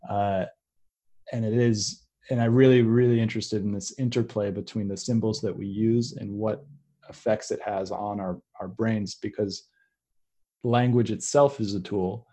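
A young man with a somewhat low voice hosts a relaxed podcast conversation. He drifts from thought to thought, restarting sentences and circling back as ideas come to him. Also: normal speed. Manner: rambling, many restarts and tangents. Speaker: podcast host. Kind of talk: casual conversational interview.

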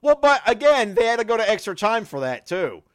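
A man co-hosting a casual football podcast, his voice raspy from a cold, often stumbling over and repeating words and laughing. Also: sits high, very animated, a little fast. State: sick with a cold. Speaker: podcast co-host.

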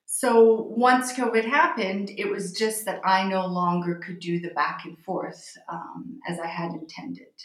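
A woman from Canada in her forties talks as a guest in an interview, thinking aloud and restarting her sentences. Her voice sits at a middle pitch. The recording carries a noticeable roomy echo. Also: fairly even intonation. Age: 40s. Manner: reflective, pausing and restarting phrases. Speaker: guest, magazine editor. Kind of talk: interview conversation.

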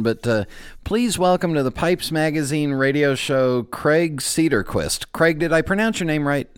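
A man's voice: mid-range voice, clear, resonant tone, no echo, clean studio sound. A man hosting a podcast interview in casual chat, joking and chuckling at his own quips. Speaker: podcast host.

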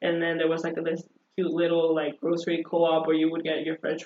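A woman as an interview guest, talking in an upbeat, animated way with frequent fillers and laughter. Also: low-pitched, fairly quick. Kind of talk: casual conversational interview. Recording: roomy dull lo-fi audio.

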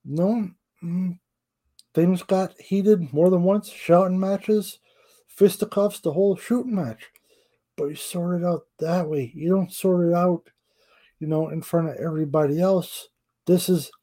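A man's voice: high-pitched, dark tone. A man co-hosting a casual wrestling podcast, talking bluntly and matter-of-factly, telling stories.

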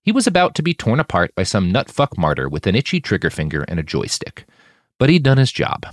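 Guy reading a novel out loud, really swoopy expressive delivery, dry close mic. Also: on the low side, brisk, no echo. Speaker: author narrating his fiction podcast. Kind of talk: dramatic audiobook narration.

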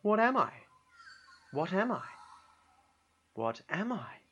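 A man's voice: high-pitched, sing-song, slow pace, fairly bright tone, husky, dull audio, voice pushed up, full character voice. A man voicing a large, bewildered bunyip creature from a storybook, murmuring questions about itself. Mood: puzzled, murmuring.

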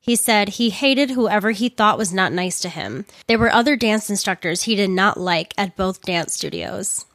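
Woman, slightly hoarse voice, earnest narration, mid pitch, dry close recording. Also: animated, normal speed, few pauses, fairly bright tone, no room sound. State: hoarse, losing her voice. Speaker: true crime narrator. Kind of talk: scripted narration with personal commentary.

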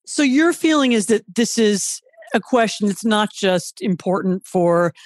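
A man's voice: sits high, animated, unhurried, dry recording, studio quality. A man interviewing a guest, asking long, thoughtful questions with restarts and repeated words.

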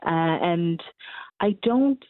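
A woman in her twenties with a Norwegian accent, a musician answering interview questions in English, often pausing to hunt for words. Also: mid-range voice, animated, no echo. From Norway. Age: 20s. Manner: hesitant, searching for English words. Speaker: musician guest. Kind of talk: casual interview over a call. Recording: tinny phone-like line.

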